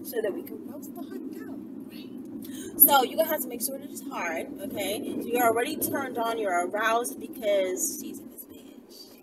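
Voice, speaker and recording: Animated high-voiced woman, noisy car recording. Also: moderate pace. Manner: animated, slangy and profane, with frequent tag questions. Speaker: vlogger telling stories to followers. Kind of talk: casual rambling storytime chat to camera. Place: inside a car while driving. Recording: noisy moving car, distant mic.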